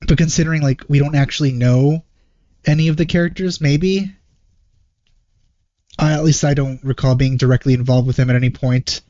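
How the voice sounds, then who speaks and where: A man giving casual reaction commentary as a video host, in a hesitant, low-key manner. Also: fairly even intonation, normal speed, pauses often, mellow, no room sound, muffled recording. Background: game trailer music.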